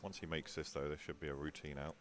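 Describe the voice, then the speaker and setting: A man's voice: rumbling low, level tone, fast talker, a bit bright. A man commentating a pool match live, chatty and full of player anecdotes.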